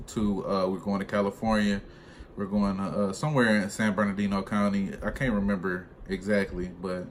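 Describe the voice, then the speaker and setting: A young man who drives trucks, telling a personal story in a casual, slangy, conversational way for a vlog. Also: fairly low pitch. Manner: slangy, conversational, animated retelling. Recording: clearly noisy room ambience.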